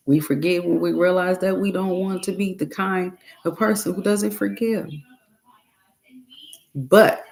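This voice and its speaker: A woman reads a guided self-help meditation at a very slow pace. Her voice has a gravelly fry to it, and she sets phrases apart with long, deliberate pauses. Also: fairly low pitch, a little mellow, poor audio.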